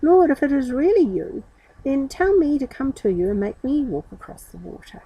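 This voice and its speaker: Woman voicing an anxious disciple with swooping pitch. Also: expressive, normal speed, no room sound, lo-fi sound. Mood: shaky, pleading. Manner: worried, pleading. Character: anxious, devoted male disciples of a master.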